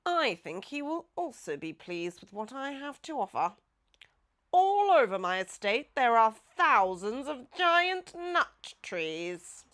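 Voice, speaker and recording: A woman acts out a grand, generous Duke from a children's tale. The voice is bright and swoops widely. He declaims pompously, sure that he knows everything. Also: a little high, big pitch swings, takes it slowly, pitched above the performer's own voice, heavily acted. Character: grand, emphatic, know-it-all, generous old-fashioned male Duke.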